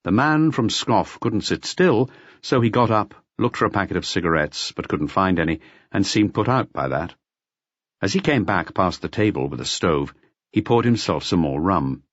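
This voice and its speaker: A man narrating a literary audiobook in a measured, expressive storytelling manner. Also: deep voice, breathy edge, no echo, dull audio. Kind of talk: scripted literary narration of a detective novel.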